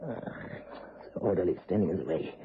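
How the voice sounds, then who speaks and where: A man's voice: low voice, expressive, fairly quick. A man acting a calculating, methodical, sinister hired gunman in a vintage radio drama. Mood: intent. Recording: dull, faintly noisy old radio recording.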